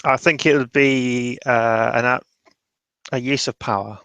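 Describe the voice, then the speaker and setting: A man's voice: medium pitch, fairly even intonation, measured pace, sharp tone, smooth, no echo. A man improvising narration and explanation in a roleplaying game, thoughtful and hesitant.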